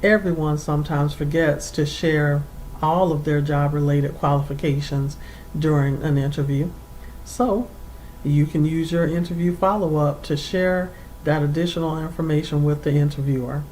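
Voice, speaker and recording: A career coach speaks in a mid-range voice, laying out advice in formal, measured phrases. The delivery is deliberate and instructional, like a prepared lesson. A faint noise and low rumble sit behind the voice. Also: fairly even intonation, a little mellow, low-quality recording. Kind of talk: instructional advice to camera, semi-scripted.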